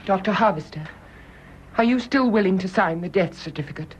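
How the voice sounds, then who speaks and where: An actress playing an upright, earnest nurse in a radio drama, speaking deliberately. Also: female voice, mid-range voice, expressive, lightly acted, low-quality recording. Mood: firm. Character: stern, upright nurse softening into remorse. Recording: faint hiss on radio drama recording.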